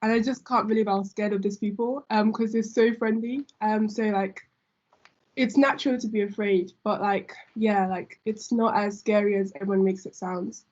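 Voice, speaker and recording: A woman's voice: mid-range voice, steady tone, normal speed, a little mellow. A young woman hosting a casual group chat among students, talking chattily with many fillers. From Nigeria. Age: young adult. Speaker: student host. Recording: dull lo-fi audio.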